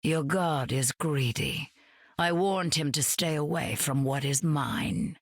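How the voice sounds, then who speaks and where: A woman's voice: deep voice, takes it slowly, no echo, clean studio sound, full character voice. A woman voicing an imperious, silky goddess of death in a video game, grand, theatrical and condescending. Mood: haughty menace.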